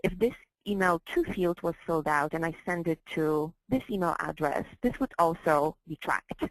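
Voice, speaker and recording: A woman with a low voice and noticeable vocal fry leads a software training session. She explains slides step by step, carefully and a bit haltingly, repeating herself as she goes. The sound is dry and close. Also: dry recording, low-quality recording. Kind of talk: slide-based training walkthrough.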